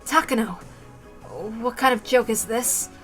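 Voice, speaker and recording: A woman's voice: a little high, fairly even intonation, measured pace, fairly bright tone, soft background music. A woman voicing an exhausted, earnest male captive in a visual novel, questioning urgently. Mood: bewildered.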